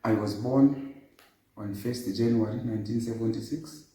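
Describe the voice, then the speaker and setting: A man in his fifties giving a formal interview, recounting his background in a measured way. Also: lowish voice, dark tone, slight background noise. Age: 50s. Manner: measured, formal, lists dates and places. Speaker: interview guest. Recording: echoey, distant room sound.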